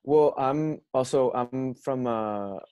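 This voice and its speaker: A man's voice: mid-range voice, slow, a little mellow, smooth, no room sound. A young man from Venezuela, raised in Miami, chatting loosely as a band member in an interview, with many fillers. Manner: laid-back, rambling with many fillers. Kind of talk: casual video call interview.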